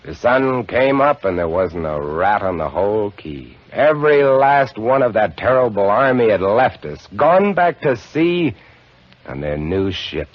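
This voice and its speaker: An actor playing a shaken male lighthouse keeper, telling his story in hushed, dramatic narration for a 1950s radio drama. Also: lowish voice, big pitch swings, unhurried. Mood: wry, uneasy. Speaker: lead actor narrating in first person. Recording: lo-fi old radio broadcast with music.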